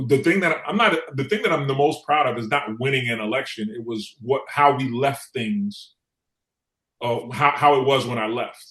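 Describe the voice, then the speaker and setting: A middle-aged man from New York City talks as an interview guest in a medium-pitched voice. He tells his story in a conversational, emphatic way, repeating phrases to drive points home. The recording has a clear room echo. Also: fairly bright tone. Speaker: guest, former city council member. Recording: echoey room sound.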